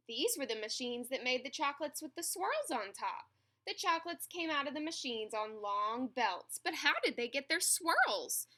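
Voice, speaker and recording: Bright-voiced woman reading a children's storybook. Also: high-pitched. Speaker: storybook reader. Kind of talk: expressive children's read-aloud.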